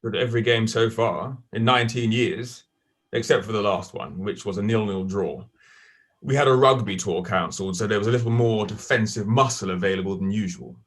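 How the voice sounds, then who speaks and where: A man's voice: lowish voice, fairly quick, close to an everyday voice. An actor playing an articulate, condescending young man who speaks precisely and dryly, in an audio drama. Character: posh, articulate young man, dry and superior. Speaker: actor in a stage play.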